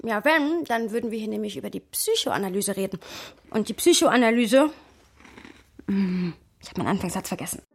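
An actress playing a witty, argumentative woman in a radio play, musing wryly and digressively in a slightly husky voice. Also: medium pitch, a little mellow, natural voice. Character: Sophia, witty, argumentative woman musing about her friend. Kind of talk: radio play narration and dialogue.

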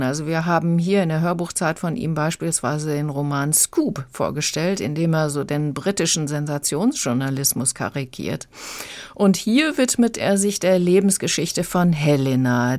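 Very low-voiced woman reviewing audiobooks. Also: a little mellow, no room sound. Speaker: audiobook critic on a radio show. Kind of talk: conversational book review. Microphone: close studio microphone.

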